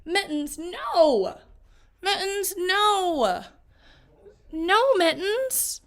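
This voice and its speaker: A woman reading aloud, voicing a young girl T-Rex who is fussy and frustrated, in a high, whiny, pleading way. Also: animated, very slow, fairly bright tone, full character voice. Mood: exasperated.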